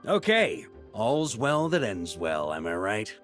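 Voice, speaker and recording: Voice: mid-range voice, very animated, slow, a bit bright, soft background music. Voice actor as a breezy, teasing young bard. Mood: playful. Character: carefree young male bard, playful and teasing. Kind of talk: video game voice acting.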